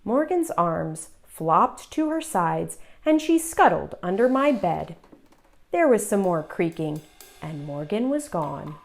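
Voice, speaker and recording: A woman reading a children's picture book aloud as an expressive, theatrical narrator with dramatic pauses and emphasis. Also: on the low side, creaky. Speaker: children's story reader.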